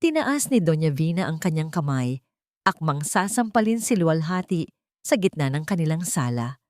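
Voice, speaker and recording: A woman's voice: deep voice, sing-song, moderate pace, a little mellow, no echo, studio quality. A woman reading scripted dramatic narration as a storyteller.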